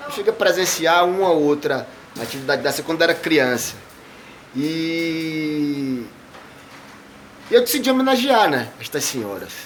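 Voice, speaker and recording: A man's voice: on the high side, very animated, measured pace, a bit bright. A man with a slightly raised voice talks casually in an interview, looping through his ideas and tagging many sentences with questions. He sounds like an artist explaining his work. A faint hiss runs under the recording. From Bahia, Brazil. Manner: discursive, meandering, frequent tag questions.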